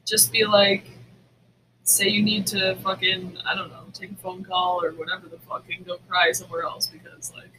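A woman around forty with a bright, forward voice chats casually as a podcast co-host. She is blunt and curses constantly. A little noise sits in the background. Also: lowish voice, level tone, normal speed, low-quality recording. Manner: blunt, heavily profane. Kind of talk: casual chat.

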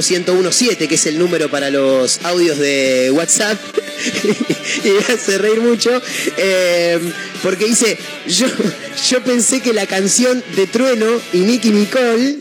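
A man with an Argentine accent hosting a casual radio show from a studio, chatting and reading listener messages, with a halting manner full of fillers and self-corrections. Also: sits high, music in the background. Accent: Argentine Spanish. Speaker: radio host.

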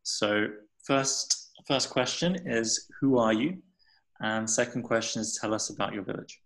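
A male podcast host introducing guests and asking questions in a deliberate way. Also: on the low side, a bit bright, poor audio. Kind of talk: interview introduction.

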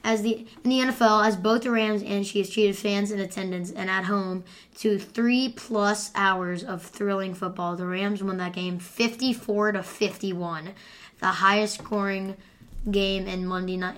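A woman hosting a casual sports podcast, talking loudly and excitably and teasing her guest. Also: medium pitch, normal speed. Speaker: podcast host. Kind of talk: casual sports picks chat.

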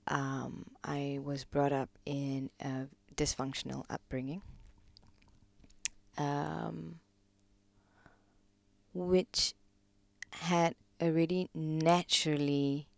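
A woman, a podcast guest reflecting on her life in a slow, hesitant way, pausing often. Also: low voice, flat delivery, gravelly, no room sound. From Malaysia. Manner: slow, hesitant, many pauses and ums, soft-spoken. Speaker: podcast guest, gong practitioner. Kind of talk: reflective personal interview talk.

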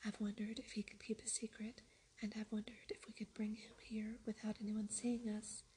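A woman's voice: mid-range voice, level tone, moderate pace, mellow, slight background noise, lightly acted. A woman whispering the lines of an earnest, eager young girl in a storybook reading.

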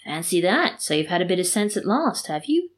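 A woman's voice: fairly low pitch, very animated, a little fast. Woman reading as a sly, teasing male trickster. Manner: teasing, mock-sincere.

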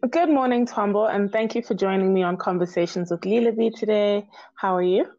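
A woman's voice: mid-range voice, a little fast, darkish tone, highs cut off, faint music behind. A woman hosting a podcast interview, chatty, often saying "like" and laughing. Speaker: podcast host. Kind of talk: interview host, scripted intro then casual chat.

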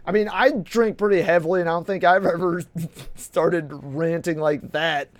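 A man's voice: a little high, steady tone, normal speed, dry recording. A man hosting a casual podcast, rambling and swearing freely, often stumbling over his words and restarting. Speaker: podcast host. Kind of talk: casual banter.

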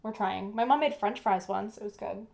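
A woman's voice: medium pitch, normal speed, vocal fry, background noise. A young woman co-hosting a casual podcast, chatty and rambling, with lots of "like" and "um.". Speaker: podcast co-host. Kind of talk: casual chat.

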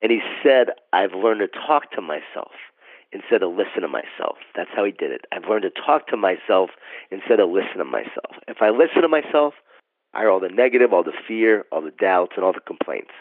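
A hoarse, scratchy-voiced man preaches motivation with steady energy. His voice sits low and comes through a thin, phone-like line. The sound is close and dry, with no room around it. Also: brisk, dry recording. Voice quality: scratchy, deep from pollen allergy. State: hoarse from allergies. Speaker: guest author and speaker. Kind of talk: motivational podcast interview.

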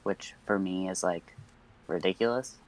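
A man's voice: low voice, a little slow, low-quality recording. A young man co-hosting a podcast in casual chat, rambling with many fillers and nervous laughs. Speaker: podcast co-host.

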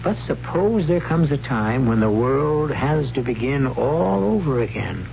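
A man hosting and narrating an old-time radio drama in a portentous, deliberate manner. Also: mid-range voice, big pitch swings, creaky. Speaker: host and narrator. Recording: muffled, noisy old radio broadcast with hum.

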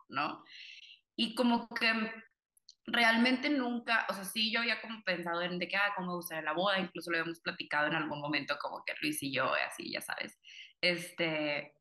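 A woman's voice: lowish voice, pushed and bright. A young woman from Cuba, raised in Monterrey, chatting casually with filler-heavy, rambling reflections. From Cuba, raised in Monterrey, Mexico. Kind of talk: casual conversation. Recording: echoey room.

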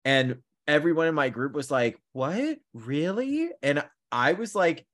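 Guy around thirty just chatting on a podcast, animated, lots of likes and ums. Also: a little high, measured pace. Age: 30s. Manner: animated, chatty storytelling with many fillers. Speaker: podcast co-host; counseling student. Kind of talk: casual chat.

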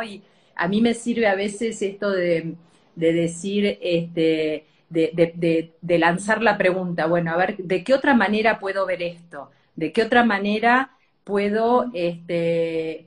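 A woman with a Rioplatense accent hosting an interview, speaking gently and hesitantly with many fillers. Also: lowish voice. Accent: Rioplatense Spanish. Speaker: interviewer, host. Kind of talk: interview, conversational.

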